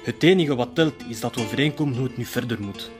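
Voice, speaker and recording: An actor playing a calm, reasonable male conspirator in a radio drama, placating and measured. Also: mid-range voice, over music, natural voice. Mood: firm, calming. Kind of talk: radio drama acting, switching between Spanish and Dutch.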